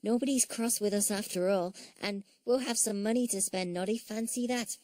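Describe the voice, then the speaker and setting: A woman's voice: mid-range voice, moderate pace, dark tone, no echo, pitched above the performer's own voice, natural voice. A woman voicing a sweet, giggly little female toy in a storybook, girlish and gleeful. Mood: happy relief.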